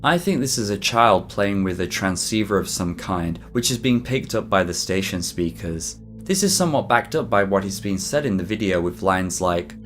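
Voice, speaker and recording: A man reading a scripted mystery documentary narration for an online video in a measured, explanatory way. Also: sits low, animated, fairly bright tone. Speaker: YouTube narrator. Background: background music.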